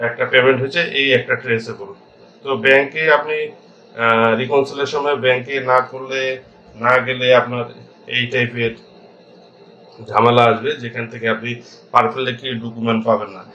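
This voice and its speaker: Bright-voiced Bangladeshi man, halting tutor. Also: medium pitch, muffled recording. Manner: halting, thinking aloud, mixing in English accounting terms. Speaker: accounting instructor. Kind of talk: informal tutorial explanation.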